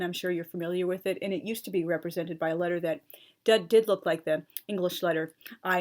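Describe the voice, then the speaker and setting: A young adult woman explaining a topic at length in an earnest, precise way, spelling words out letter by letter, with chatty asides and fillers. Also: fairly low pitch. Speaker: channel host explaining language. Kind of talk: talking-head explainer, partly read from notes.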